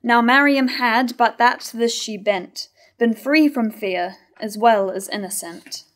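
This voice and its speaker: Tragic chorus, morally stern, played by a young woman, higher-pitched, reading verse on stage. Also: a little slow, natural voice. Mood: moralising, measured. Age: young adult. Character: moralising chorus judging a wife's virtue. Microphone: lectern microphone.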